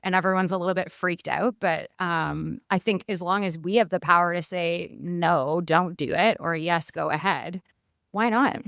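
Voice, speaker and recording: A woman's voice: on the low side, normal speed, creaky, a bit bright, no echo, studio quality. A woman panelist giving her opinion and explaining it in a conversational way. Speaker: expert panelist.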